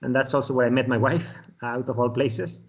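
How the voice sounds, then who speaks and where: A man in his forties from Spain with a Spanish accent, giving relaxed interview answers with frequent chuckles and fillers. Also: mid-range voice, fairly quick, muffled recording. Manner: chuckles often, many fillers and restarts. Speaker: guest, finance executive.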